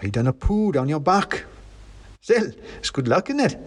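Man as chatty raven, blunt slang, husky, lively. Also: fairly high pitch, moderate pace, pitched above the performer's own voice. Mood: cheeky. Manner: gossipy, nosy, blunt slang. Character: chatty, cheeky male raven.

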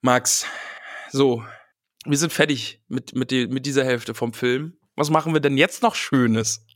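A man's voice: medium pitch, fairly even intonation, measured pace, fairly bright tone, dry recording. A man co-hosting a casual podcast, slightly nasal and stuffed up from a cold, who laughs a lot and reads out listener messages. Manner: laughs often, playful banter. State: has a cold, stuffy nose, sore throat. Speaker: podcast co-host.

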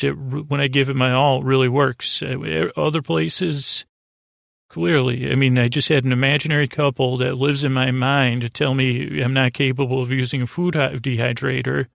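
A man with a mid-pitched voice full of fry delivers a whimsical bedtime monologue. He drifts and hesitates, putting himself down as he digresses. The sound is dry, with no room echo. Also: fairly bright tone. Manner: meandering, halting, digressive, self-deprecating. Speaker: podcast host.

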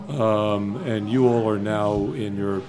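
A man's voice: on the low side, steady tone, slow pace, music in the background. A man interviewing guests, curious, with short questions and interjections.

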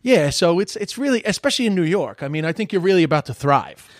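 A man's voice: high voice, big pitch swings, quick pace, no room sound, studio quality. A man in his forties with an American accent hosting a podcast in casual chat, rambling with frequent restarts. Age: 40s. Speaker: podcast host.

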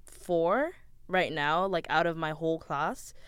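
A young woman from Ethiopia on a podcast, talking in a casual, measured way with small hesitations. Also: deep voice, a bit bright, smooth, dry recording, studio quality. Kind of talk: casual group chat.

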